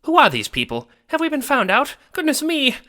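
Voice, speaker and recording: A man voicing an excitable, nervous female insect-like creature with a squeaky voice in an audio drama. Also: moderate pace, full character voice. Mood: fretful. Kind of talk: audio drama character acting.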